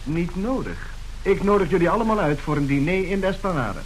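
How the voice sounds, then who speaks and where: An actor playing a polite but cheeky son and secretary in a radio play. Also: male voice, high-pitched, lively intonation, a little fast, low-quality recording. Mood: confident, cheeky. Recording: hissy old radio recording.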